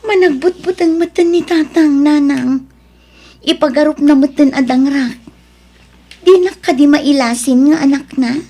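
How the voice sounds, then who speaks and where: A woman voicing a young child in an Ilocano family drama. The voice is very high, puzzled and scared. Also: a little slow, dark tone. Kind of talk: Ilocano radio-style drama acting.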